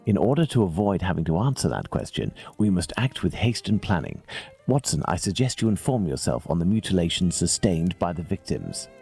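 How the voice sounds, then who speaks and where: An actor playing a brilliant, composed English gentleman detective in a video game, speaking in formal, deliberate, analytical phrases. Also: low voice. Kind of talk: scripted video game acting.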